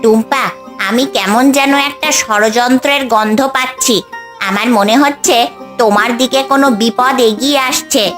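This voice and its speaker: High-voiced protective talking bird played by a woman. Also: a little slow, fairly bright tone, faint music behind. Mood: cautioning. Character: watchful, protective colourful magic bird, female, stern. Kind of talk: cartoon story voice acting.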